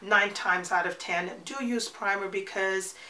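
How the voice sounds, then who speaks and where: A woman's voice: medium pitch, fairly even intonation, measured pace, pushed and bright, reverberant. A woman in her forties with a congested, nasal voice from a cold, chatting her way through a makeup tutorial and repeating words for emphasis. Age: 40s. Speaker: beauty vlogger.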